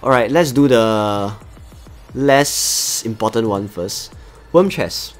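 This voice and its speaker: A man's voice: mid-range voice, lively intonation, slow pace, soft background music. A man from Singapore with a Singaporean accent, chatting casually to viewers as he hosts a live game stream. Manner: chatty, talks to viewers as he plays. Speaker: livestream host.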